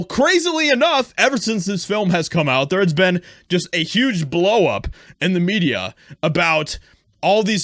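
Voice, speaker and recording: A male online commentator with a fairly high voice delivers his opinions emphatically. His tone is scornful and pointed, and the recording is close and dry, with very little room sound. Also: a bit bright, no room sound. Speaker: YouTube commentator.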